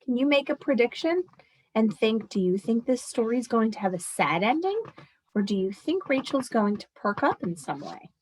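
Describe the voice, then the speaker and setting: Animated, higher-pitched woman reading aloud. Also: moderate pace, slightly rough, rough recording. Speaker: teacher reading a story aloud. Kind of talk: expressive picture-book read-aloud with explanatory asides to children.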